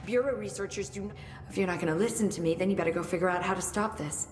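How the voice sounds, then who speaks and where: A woman's voice: mid-range voice, very fast, lightly acted. A woman playing an earnest, analytical, cautious research scientist in a video game cutscene, with a precise, urgent way of explaining. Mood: concerned. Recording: echoey hall, faint noise.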